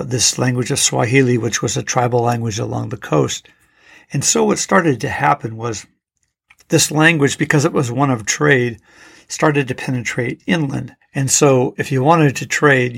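A middle-aged man with a gravelly, creaky edge to his mid-pitched voice talks through a solo podcast episode. He rambles conversationally, doubling back on himself and leaning on fillers as he goes. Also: fairly bright tone. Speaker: podcast host. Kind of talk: casual solo podcast talk.

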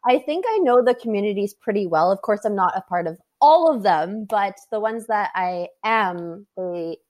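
A Canadian woman in her twenties, chatting as a guest in an interview, upbeat and quick to laugh. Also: medium pitch, expressive, a little mellow. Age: 20s. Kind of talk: casual interview chat.

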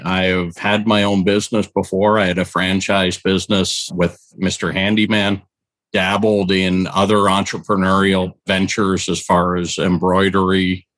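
A man's voice: low-pitched, a bit bright, no echo. A man in his forties, a podcast co-host, telling his background in a rambling, conversational way with fillers. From Canada. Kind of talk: casual podcast chat.

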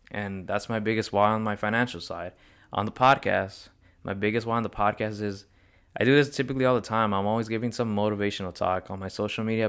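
A man's voice: lowish voice, a bit bright, clear, resonant tone. A man in his twenties hosting a solo motivational podcast, talking in an earnest, rambling way and often correcting himself mid-sentence. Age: 20s. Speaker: podcast host.

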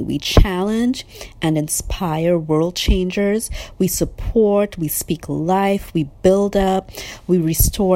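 Low-voiced woman, 30s, from Trinidad and Tobago, earnest guest. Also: mellow, no room sound. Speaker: guest, business owner. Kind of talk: prepared interview answers.

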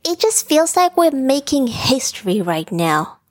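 An eager young female bear in a bedtime story, put on by a woman storyteller. She is cheerful and enthusiastic, with a high voice that has a soft huskiness to it. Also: sing-song, a little slow, no echo, exaggerated voice. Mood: proud. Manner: bright enthusiastic exclamations.

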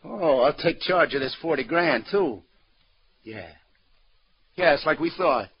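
An actor playing a weary, commanding New York police detective lieutenant in 1940s radio crime drama, speaking in a clipped, slangy way. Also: male voice, a little high, expressive, a little slow, husky, heavily acted. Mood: wry, tough. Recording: noisy old radio recording, dull.

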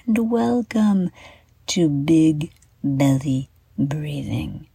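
A woman guiding a children's bedtime meditation in a soft, breathy half-whisper, gently and soothingly, with deliberate pauses. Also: deep voice, animated, no echo. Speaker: meditation guide and show host.

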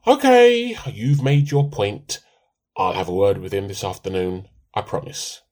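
A man's voice: fairly low pitch, expressive, unhurried, a little mellow. A man playing a put-upon, dryly sarcastic middle-aged husband in a radio play. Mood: resigned.

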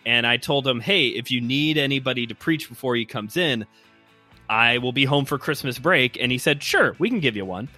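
A man's voice: mid-range voice, normal speed, bright, forceful tone, soft background music. A man in his twenties with a Chicago accent, telling a friendly, rambling story as a guest host on a podcast. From Chicago area, US Midwest. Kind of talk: casual podcast chat.

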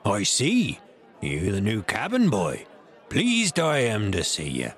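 A man's voice: lowish voice, big pitch swings, a little slow, some chatter behind. A man voicing a hearty, emphatic one-legged seafaring innkeeper with old-time sailor's talk in an audiobook. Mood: genial. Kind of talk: audiobook character acting.

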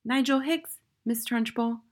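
Woman voicing a high-pitched, plucky little schoolboy. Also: a little slow, darkish tone, close to an everyday voice. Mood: brave, polite. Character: brave, bright little schoolboy, politely cheeky.

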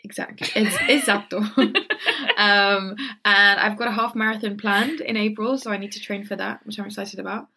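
A young woman co-hosting a casual podcast chat, relaxed, laughing easily and using many fillers. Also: medium pitch, moderate pace. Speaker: podcast co-host.

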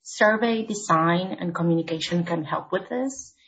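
A woman from Argentina speaks as a podcast guest, her voice a little lower than most. She lays out her points in a measured, orderly way, like a consultant walking a client through a plan. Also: a bit bright, low-quality recording. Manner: measured, explanatory, structured points. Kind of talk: podcast interview.

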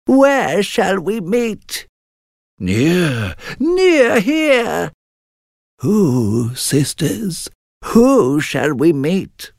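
A man voicing three ugly old witches who chant their plans in a shrill, drawn-out way. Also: creaky, dry recording, clean studio sound, full character voice.

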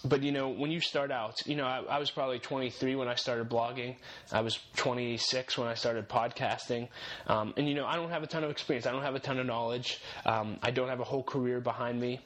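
A man's voice: mid-range voice, fairly even intonation, fairly quick, hardly any pauses, fairly bright tone. Earnest young man giving faith-based encouragement. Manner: earnest, encouraging, repeats key phrases for emphasis. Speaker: podcast host.

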